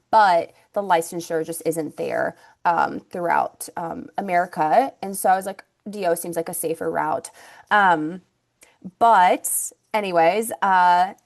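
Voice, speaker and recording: A woman in her thirties from Ukraine, whose first language is Russian, talking as an interview guest about her path into naturopathic medicine and acupuncture, chatty and full of restarts. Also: on the low side. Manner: chatty, many fillers and restarts. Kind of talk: casual interview storytelling.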